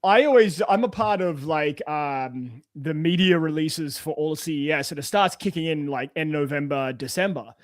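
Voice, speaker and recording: A man's voice: fairly high pitch, normal speed, hardly any pauses, no echo, studio quality. A man chatting as a guest on a tech podcast, loose and full of fillers. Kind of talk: casual panel chat.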